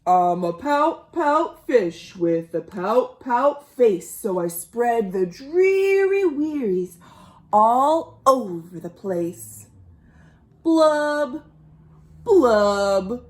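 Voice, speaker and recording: A woman voicing a gloomy, pouting male fish in a mournful, drawn-out storybook rhyme. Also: mid-range voice, lively intonation. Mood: mopey. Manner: mournful, rhyming, drawn-out delivery.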